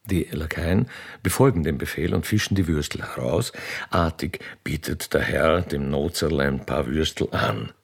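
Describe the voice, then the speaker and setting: A man with a husky voice, reading scripted stage directions as the narrator of a radio play. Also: a bass voice, moderate pace.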